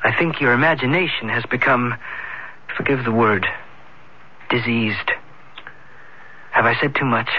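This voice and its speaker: A man's voice: mid-range voice, a little slow, long pauses, breathy edge. An actor playing a concerned, loyal young male student friend, speaking earnestly and solicitously in an old-time radio drama. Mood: earnest, frank. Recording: dull vintage radio broadcast recording.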